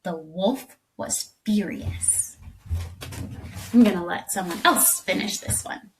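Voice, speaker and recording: A woman's voice: on the low side, slow, poor audio. A woman teacher reading a children's story aloud with dramatic expression. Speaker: elementary school teacher. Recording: clear background noise.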